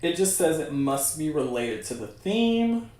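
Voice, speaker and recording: A man's voice: fairly high pitch, sing-song, measured pace, a little mellow. A man hosting a livestream, chatting casually to viewers in an informal, encouraging way. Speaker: livestream host. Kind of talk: casual chat to a livestream audience. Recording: very echoey room, distant mic.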